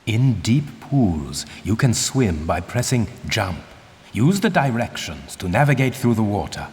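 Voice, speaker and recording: An actor playing a stern, condescending older archaeologist in a video game, giving formal, pompous instruction. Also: male voice, fairly low pitch, very animated, a little slow, darkish tone. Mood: instructive. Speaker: mentor and guide. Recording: long cavernous reverb.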